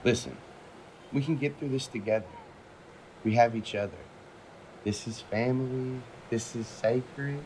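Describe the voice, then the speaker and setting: A an actor as a frank, supportive man with a medium-pitched voice. He talks casually and sincerely in a natural audio-drama style. Faint ambience is behind him. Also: unhurried, long pauses, darkish tone, a little hiss or hum, lightly acted, low-quality recording. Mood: reassuring. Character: frank, supportive man in self-help group. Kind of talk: naturalistic audio fiction acting.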